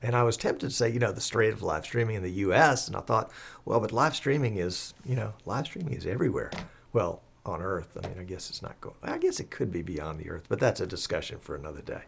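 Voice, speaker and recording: A man hosting a live show in a chatty, folksy, rambling and enthusiastic way, croaky as he recovers from the flu. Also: lowish voice, animated, fast, slight background noise. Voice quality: slightly husky, croaky. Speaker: live stream show host.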